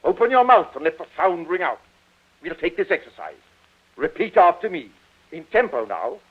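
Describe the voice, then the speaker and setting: A man's voice: fairly high pitch, sing-song, moderate pace, slightly rough. A man playing a domineering, stagey middle-aged father in an old radio drama, declaiming with precise diction. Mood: instructive. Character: domineering, theatrical middle-aged father training his actress daughter. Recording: tinny, band-limited old radio recording.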